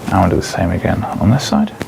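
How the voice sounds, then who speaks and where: Male clinician, courteous and procedural, animated intonation with vocal fry, guiding an examination. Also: low-pitched, quick pace, background noise. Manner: polite, procedural. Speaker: doctor examining a patient. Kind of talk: step-by-step clinical exam instructions.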